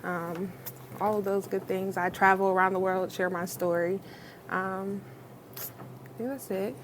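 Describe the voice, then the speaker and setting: A woman's voice: mid-range voice, fairly even intonation, measured pace, a little hiss or hum, poor audio. A woman from Iowa, an advocate on a panel, speaking casually and a little nervously. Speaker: HIV advocate. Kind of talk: panel discussion.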